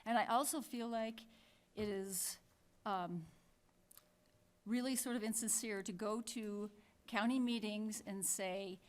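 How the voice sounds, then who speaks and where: A woman's voice: mid-range voice, takes it slowly. A woman chairing a town council meeting, speaking plainly and thinking aloud with frequent restarts.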